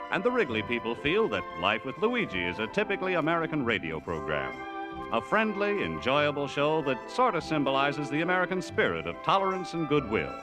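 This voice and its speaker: A man announcing a 1950s radio show and its sponsor, with polished, enthusiastic salesmanship. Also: on the high side.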